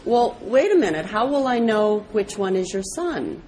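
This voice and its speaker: A woman's voice: mid-range voice, very animated, normal speed, darkish tone, slight background noise, voice pushed up, natural voice, poor audio. A woman voicing a puzzled, doubtful messenger who questions things in a storybook fable.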